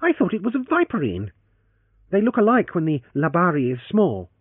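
A man voicing a proud, spirited young heiress who is quick to anger, in a raised, indignant voice. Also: huge pitch swings, husky, no echo, full character voice. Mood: pleading. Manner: high, sharp and indignant. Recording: tinny phone-band audio.

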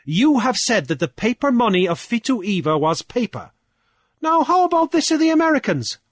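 A man's voice: very high-pitched, big pitch swings, normal speed, no room sound, pitched above the performer's own voice, exaggerated voice. A man voicing a smug, triumphant chancellor in a story, arguing before an island council in an emphatic, challenging, oratorical manner. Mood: pressing.

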